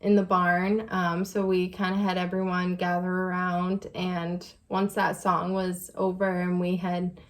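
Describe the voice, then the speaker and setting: A young woman shares a story as a vlog co-host. Her voice sits on the low side and stays very even in pitch. She talks casually, in a relaxed, conversational manner. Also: a little slow, hardly any pauses.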